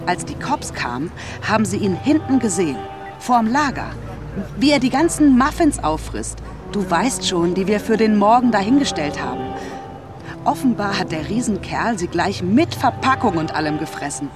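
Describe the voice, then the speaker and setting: Actress doing chatty teasing fast food coworker woman, really swoopy voice, radio play with music under it outside. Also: medium pitch, lightly acted. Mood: excited gossip. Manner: animated, slangy, teasing. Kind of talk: naturalistic radio drama acting.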